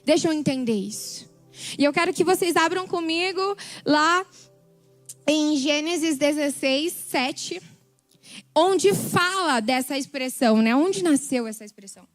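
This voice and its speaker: A woman preaches with fervor in a Brazilian Portuguese accent, her voice set very high. She stacks up emphatic, repeated phrases, and her voice sounds slightly hoarse and worn. Soft music plays faintly behind her. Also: a little slow. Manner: impassioned, emphatic repetition. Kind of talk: sermon testimony.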